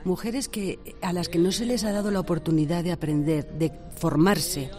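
Warm earnest woman author. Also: on the low side, steady tone. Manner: earnest, thoughtful, hesitant pauses. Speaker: author interviewed. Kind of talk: reflective interview answers. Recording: faint music behind.

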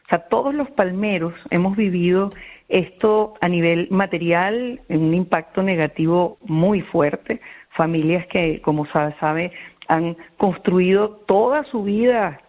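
A woman's voice: on the low side, unhurried. A woman from Venezuela with a Venezuelan accent, answering earnestly as a radio interview guest and explaining things with repeated phrases for emphasis. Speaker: interview guest, volunteer psychologist. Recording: dull, band-limited radio call.

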